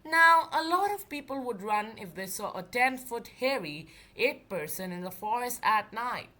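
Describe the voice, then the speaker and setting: A woman reading a children's book aloud as an expressive storyteller. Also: a little high, normal speed.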